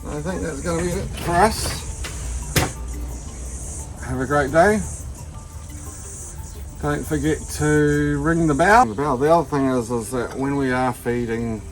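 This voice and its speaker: A man with a New Zealand accent, casually chatting about his tortoises in his garden, in a self-described monotone that drifts off topic. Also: a little high, measured pace, pauses often, faint music behind, rough recording. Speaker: tortoise keeper and channel host. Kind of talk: casual rambling vlog. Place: outdoor garden with tortoise enclosure.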